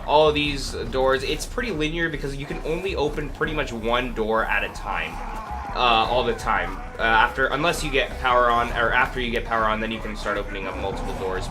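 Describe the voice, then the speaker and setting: A man with a cold, congested and sniffling, hosting a casual gameplay walkthrough in a rambling, hesitant way full of fillers. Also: mid-range voice. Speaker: gaming video host. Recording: clear background noise.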